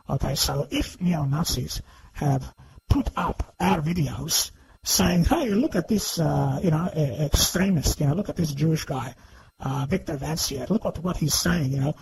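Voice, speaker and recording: A man giving unscripted, argumentative commentary in a halting but emphatic way, repeatedly seeking agreement. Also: fairly high pitch, vocal fry, poor audio. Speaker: online video commentator. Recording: faint background noise.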